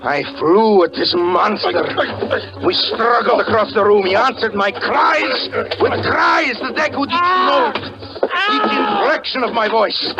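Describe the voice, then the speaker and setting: Tormented male magician, 1940s radio melodrama, fervent and fairly high-pitched, swooping, on muffled vintage audio. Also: animated, a little slow, loud music behind the voice. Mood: frantic, horrified. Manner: melodramatic, swinging between hushed narration and anguished cries. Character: tormented stage magician husband, haunted and fervent, recounting his story. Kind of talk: 1940s radio suspense drama acting.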